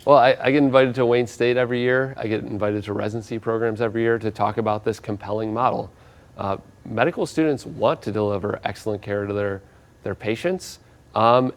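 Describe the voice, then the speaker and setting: Confident male doctor making his pitch. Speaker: doctor, interview guest. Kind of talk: interview answers.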